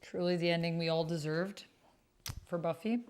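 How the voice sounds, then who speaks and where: Slightly low-voiced woman streaming casually. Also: moderate pace, a little mellow. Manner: exclamatory, playful. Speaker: streamer and host of an actual-play stream. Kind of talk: casual reactive livestream chat.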